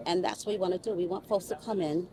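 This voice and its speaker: A woman's voice: fairly low pitch, steady tone, quick pace. A woman in her fifties speaking emphatically in a conversational interview as a council member, repeating phrases for stress. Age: 50s. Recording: street noise with people and vehicles. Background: crowd and vehicle noise.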